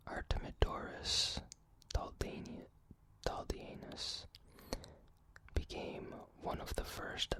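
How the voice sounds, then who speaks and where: Slow, hushed ASMR whisperer. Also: rough recording. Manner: whispers throughout, unvoiced; slow, careful reading with pauses between short phrases. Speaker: reader. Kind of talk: whispered ASMR reading of dream facts.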